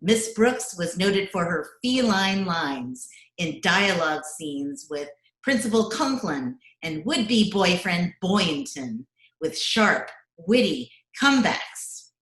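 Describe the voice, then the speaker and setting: Female announcer for vintage-style radio comedy, unhurried scripted read, echoey video-call sound. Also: on the low side, very animated, fairly bright tone. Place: recorded remotely at home.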